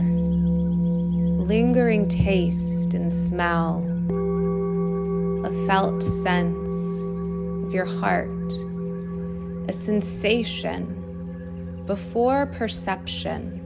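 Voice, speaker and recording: A woman leading a guided meditation in a soothing, unhurried manner, speaking in short phrases with long pauses. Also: fairly low pitch, vocal fry. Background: singing bowl meditation music.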